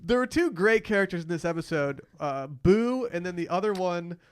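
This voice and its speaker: A man's voice: high voice, lively intonation, normal speed, clear, resonant tone, no room sound, studio quality. An American man co-hosting a casual recap chat, giving his opinions bluntly. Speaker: podcast co-host.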